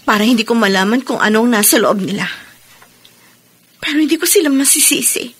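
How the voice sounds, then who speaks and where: An actress playing a grieving, guilt-ridden young mother in a radio drama, speaking quietly and resigned. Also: fairly high pitch, animated, fairly quick, breathy edge. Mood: sorrowful.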